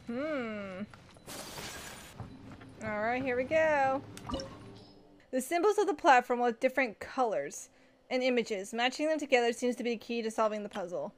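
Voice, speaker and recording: A woman gamer giving informal, reactive playthrough commentary and reading game text aloud. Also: fairly high pitch. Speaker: gaming streamer.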